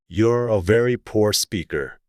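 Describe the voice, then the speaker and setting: A man as a slow, pompous king. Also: lowish voice, slight background noise, natural voice. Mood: stern. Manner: pompous, stern. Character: curt, pompous, bossy storybook king.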